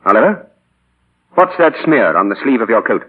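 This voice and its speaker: A man's voice: mid-range voice, very animated, normal speed, breathy edge. An actor playing a troubled, earnest middle-aged father with a hesitant manner in a 1940s radio drama. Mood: accusing. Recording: muffled 1940 radio broadcast with faint music.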